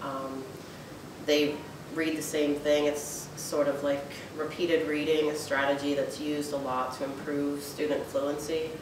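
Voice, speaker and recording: A woman teacher talks informally in a low, fairly flat voice. She is recorded at a distance in a very echoey room, with clear rumbling noise behind her. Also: measured pace. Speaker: school teacher. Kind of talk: informal interview testimonial.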